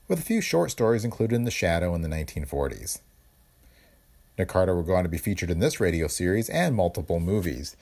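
A man's voice: lowish voice, a little mellow, slightly rough. A man hosting a podcast, reading scripted narration about its show. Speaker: podcast host.

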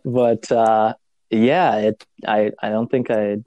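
Low-voiced easygoing man, dry sound. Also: fairly even intonation, warm tone, no room sound, dull audio. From Kenya. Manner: easygoing, pausing to search for words. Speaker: podcast guest. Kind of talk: casual interview chat.

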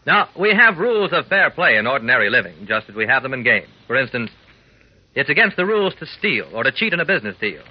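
A man's voice: mid-range voice, big pitch swings, quick pace, breathy edge. A male announcer giving an earnest, scripted old-time radio talk to young listeners in folksy slang. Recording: muffled old radio recording.

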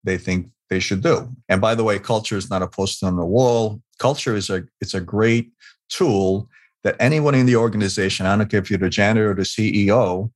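Low-voiced earnest man telling stories. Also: brisk, no echo. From Hungary. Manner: earnest, repeats words, frequent fillers. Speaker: guest, business author. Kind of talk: conversational interview storytelling.

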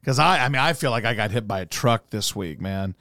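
A hoarse man hosting a casual talk show, rambling in a loose, profane way and doing live ad reads. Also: on the low side, lively intonation, brisk, bright, forceful tone, no room sound, studio quality. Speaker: radio host. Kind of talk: casual podcast chat and live ad read.

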